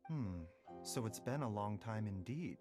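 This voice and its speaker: An actor voicing a calm, courteous, enigmatic doctor in a video game, speaking in a formal, measured way. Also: male voice, lowish voice, music in the background. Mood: reassuring.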